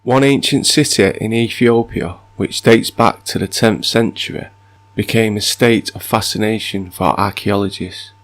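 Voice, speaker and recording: A man with a croaky, sore-throated voice, reading passages aloud and then musing on them in casual asides. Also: lowish voice, unhurried. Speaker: video narrator. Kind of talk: reading and commenting on mythology.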